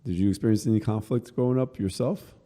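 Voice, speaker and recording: A man's voice: on the low side, quick pace, warm tone. A man hosting a podcast interview, asking questions and backing the guest with "gotcha" and "right". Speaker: podcast host. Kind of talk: interview chat.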